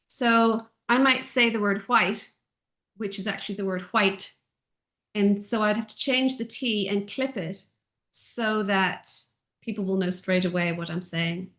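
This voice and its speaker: A middle-aged woman from Ireland with a softened Irish accent, chatting as an interview guest in a gentle, reflective way. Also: mid-range voice, measured pace. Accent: Irish, softened by years in Texas. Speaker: guest, author and audiobook narrator. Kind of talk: casual interview chat.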